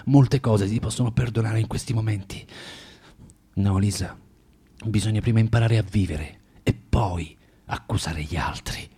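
An actor in an Italian radio drama playing a bitter, self-loathing man who lectures a young woman haltingly and theatrically, swinging from tender to vehement. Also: on the low side, mellow, breathy edge. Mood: tender, rapt.

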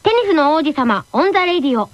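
A woman co-hosting a radio show in lively, playful banter. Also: sits high, fast talker, highs cut off, heavy background noise. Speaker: radio show co-host and voice actor. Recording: voice over loud background music. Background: loud music.

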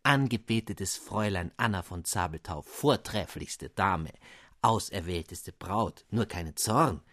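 A man's voice: deep voice, a little slow, a bit bright, no echo, clean studio sound, pitched below the performer's own voice, full character voice. A man doing a tiny pompous gnome king. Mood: fawning. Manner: flowery, grandiloquent and fawning.

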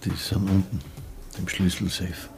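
An actor playing an unflappable radio station employee held hostage, dry and matter-of-fact. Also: male voice, low voice, completely flat delivery, a little slow, vocal fry, faint music behind. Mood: deadpan, mildly annoyed. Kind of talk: radio drama acting.

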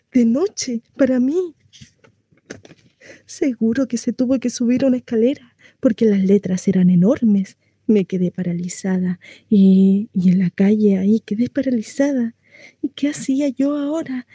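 Mellow, chatty housewife played by a woman, confiding. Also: sits high, dry recording. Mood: flustered confiding. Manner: confiding, rambling, conversational storytelling with rhetorical questions. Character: housewife shut in at home, chatty, naive, confiding in a neighbour. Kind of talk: dramatic monologue on radio.

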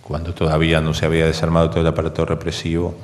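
An older Argentine man recounting memories in an interview, speaking in halting, hesitant phrases. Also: extremely low pitch, monotone. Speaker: interviewee giving testimony. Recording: long reverb, clear background noise.

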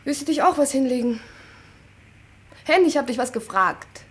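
A woman's voice: sits high, expressive, normal speed. An actress playing a brash, dreamy, impatient woman in a radio play, blunt and colloquial.

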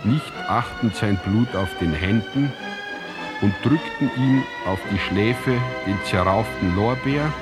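An actor as the grave narrator of an ancient tragedy in a radio drama, reciting in a measured, solemn, declamatory way. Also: male voice, on the low side. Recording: music under the voice. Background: music.

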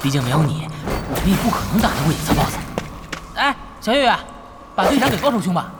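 An actor playing a friendly, chatty young party leader who coaxes and keeps peace in an audio drama. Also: male voice, sits high, sing-song, fast talker, over loud music. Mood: friendly, obliging. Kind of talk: Chinese audio drama acting.

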